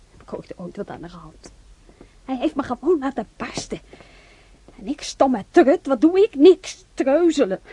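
A woman's voice: high voice, warm tone, breathy edge, heavily acted. An actress playing a bitter young woman patient who scolds herself in a muttered inner monologue in an old radio play. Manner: bitter muttering, flaring up then whispering. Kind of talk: 1960s Dutch radio drama inner monologue.

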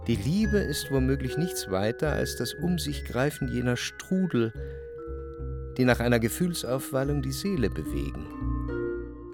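An actor playing a jealous, brooding man recalling an obsessive love, in reflective, measured literary radio drama narration. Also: medium pitch, close to an everyday voice. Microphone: close dry studio microphone.

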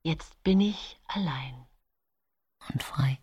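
An actress playing an imprisoned nineteenth-century poisoner in a German radio drama, self-justifying and divided, speaking in measured, confiding monologue. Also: female voice, low-pitched, a little mellow, slightly rough, full character voice. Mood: haunted, weary. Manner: measured, formal confession that slips into confiding.

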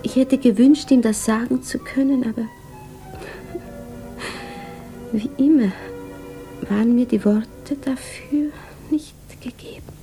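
An actress playing a grieving, lonely woman who recounts her sorrow in a solemn, hushed 1950s radio drama monologue. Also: fairly high pitch, fairly even intonation, unhurried, faint music behind. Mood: subdued sorrow. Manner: solemn, hushed, reflective, often sinking toward a whisper.

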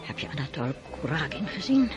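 A woman's voice: deep voice, big pitch swings, fairly quick, music in the background. Woman playing a gushing scheming mother. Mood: coaxing. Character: scheming aristocratic mother, middle-aged woman. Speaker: mother of Boris. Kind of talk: radio drama acting.

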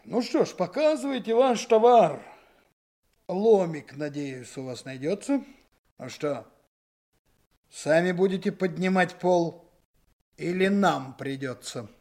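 A man's voice: sits high, takes it slowly, pauses often, darkish tone. An actor playing a commanding, sardonic detective leading a 1920s raid in a Soviet radio drama, brusque and ironic as he gives orders. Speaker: police detective in charge of a search. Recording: noisy old radio play recording, clicks.

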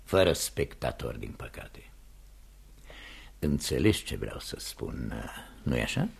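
An older gentleman boss in a radio drama, patronising and genteel. His voice is extremely low and heavily creaky, with wildly animated, swooping, theatrical phrasing. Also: exaggerated voice. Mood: musing. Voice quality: gravelly, husky. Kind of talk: Romanian radio theatre acting. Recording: studio radio play recording.

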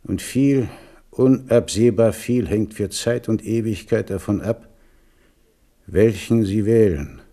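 A stern, pious admiral in a 1970s radio drama. His voice is low and warm, and he speaks with measured solemnity. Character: stern, pious old admiral and military leader.

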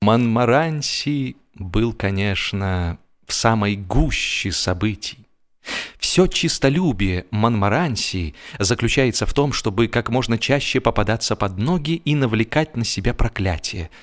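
A man narrating a humorous story in an expressive, theatrical way, with dry comic timing and drawn-out pauses. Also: on the low side. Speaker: narrator, audiobook reader.

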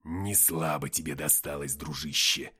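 Man acting a proud, slow-spoken half-angel warrior. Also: extremely low pitch, steady tone, bright, forceful tone, slightly rough, voice pushed down, exaggerated voice. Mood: mocking. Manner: emphatic, slow, drawn-out declarations. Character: proud half-angel male warrior, taunting, calm in victory.

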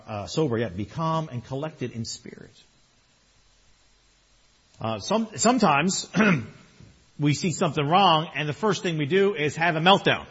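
A male pastor preaching a sermon in a conversational way, his voice a little strained, swinging between quiet and loud. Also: medium pitch, slightly rough, lo-fi sound. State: slightly strained voice.

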